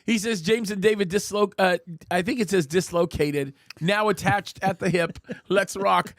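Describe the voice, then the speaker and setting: A man's voice: sits high, moderate pace, fairly bright tone, no echo, studio quality. A male host chatting casually on a live show, joking and reading out viewer comments. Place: studio.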